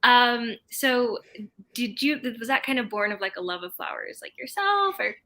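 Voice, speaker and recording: A woman's voice: fairly high pitch, big pitch swings, moderate pace, bright, forceful tone. A woman co-hosting a book club, chatting casually and enthusiastically, with lots of "like" in her sentences. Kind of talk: casual chat.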